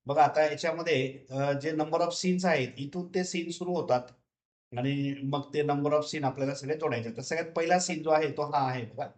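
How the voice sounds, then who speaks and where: Male tutorial presenter, fairly high voice, instructional, repetitive, off-the-cuff software walkthrough. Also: normal speed. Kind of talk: step-by-step software tutorial, unscripted explanation.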